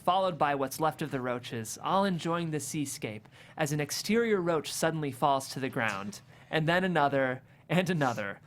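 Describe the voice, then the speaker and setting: A man's voice: on the high side, fairly bright tone. A man hosting a script table read, reading stage directions and interviewing in an articulate, effusive way.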